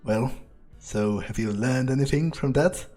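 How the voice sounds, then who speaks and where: A man plays a cynical vampire in a video game, musing aloud in a mellow, dark voice. He is sardonic and sweary, speaking haltingly over faint music. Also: medium pitch, close to an everyday voice. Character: cynical, amused, ruthless male vampire player character. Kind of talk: improvised in-character video game roleplay.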